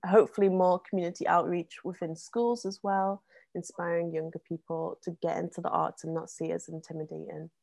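A woman, a guest on a casual podcast, talking with many ums and likes and getting animated in bursts. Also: lowish voice, brisk, creaky, dry recording. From North West England. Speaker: interview guest, zine co-founder.

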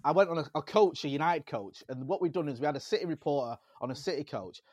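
A man with a Manchester accent chatting on a football podcast and telling lively stories about his days as a local radio reporter. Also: on the high side, fast talker, no echo. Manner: animated storyteller, jumps into mimicry of fans. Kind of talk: casual football podcast chat.

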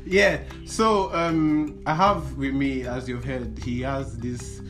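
A man's voice: mid-range voice, steady tone, takes it slowly, over music. A young Nigerian man hosting a casual podcast, teasing his guest and laughing often. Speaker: podcast host. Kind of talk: casual chat.

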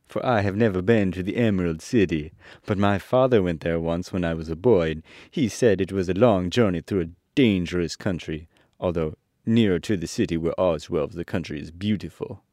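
A man voicing a calm, reassuring tin man in a storybook reading, close to his own low voice. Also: moderate pace, no echo, natural voice.

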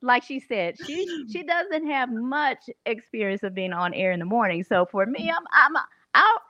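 A woman's voice: fairly high pitch, lively intonation, moderate pace, muffled recording, very noisy. A middle-aged woman co-hosting a casual morning podcast, teasing her partner and telling stories. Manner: teasing, storytelling. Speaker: podcast co-host.

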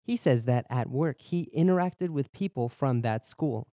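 Dark-voiced man teaching a bilingual lesson. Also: mid-range voice, lively intonation, no echo, studio quality. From born in Germany, raised in Boston. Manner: clear, measured explanations, slows down for repeat drills. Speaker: podcast language teacher. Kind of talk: bilingual lesson narration, Japanese and English.

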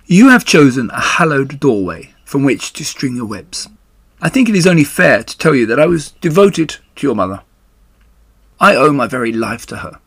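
A man voicing a gentle, devoted male pig in a children's storybook, speaking in an earnest, polite, slightly formal way. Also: medium pitch, big pitch swings, moderate pace, vocal fry, breathy edge, no echo, exaggerated voice. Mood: solemn, tender. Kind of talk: children's storybook read-aloud.

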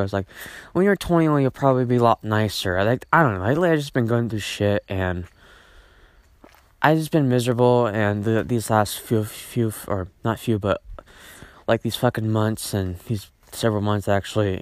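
A man's voice: fairly low pitch, expressive, moderate pace, dry recording. A teenage boy hosting a solo podcast, rambling with lots of fillers and restarts and casual swearing. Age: teens. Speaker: podcast host.

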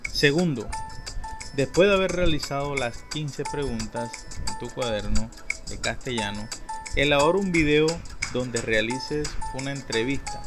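A male teacher giving students clear, step-by-step homework instructions. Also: mid-range voice. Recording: voice over loud background music.

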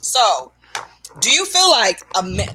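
A young woman trades casual talk on a podcast, animated and quick to laugh at herself. Her voice is bright and a bit tense. A faint hiss sits behind it. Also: on the high side. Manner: animated, self-mocking, laughs often. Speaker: podcast co-host. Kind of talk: casual chat.

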